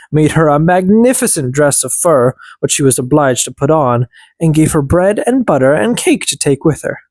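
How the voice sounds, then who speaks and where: An audiobook narrator reading a fairy tale as expressive scripted narration. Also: mid-range voice, no echo.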